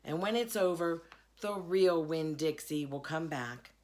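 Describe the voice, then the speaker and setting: A woman voicing a bewildered preacher father with a deliberate, explanatory way of talking in a storybook. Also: low voice, darkish tone. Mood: calm, explaining. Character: bewildered, measured preacher father of a young girl.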